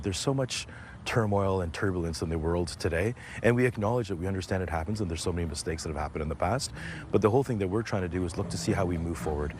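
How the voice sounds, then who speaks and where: A man's voice: low voice, noisy background. A man from Toronto, a festival organizer, speaking earnestly in an interview outdoors at a street festival. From Toronto, Canada.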